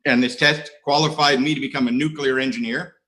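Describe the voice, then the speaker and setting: Bright-voiced man, self-mocking AA share. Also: mid-range voice, fairly even intonation, brisk. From United States. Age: middle-aged. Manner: self-mocking, dry humor, many uhs. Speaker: AA speaker. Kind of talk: recovery share talk. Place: online conference.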